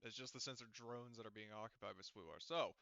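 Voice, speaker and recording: A man's voice: fairly low pitch, quick pace, creaky, sharp tone, no echo, highs cut off. A man working as game master in casual tabletop session talk, thinking aloud and correcting himself as he goes.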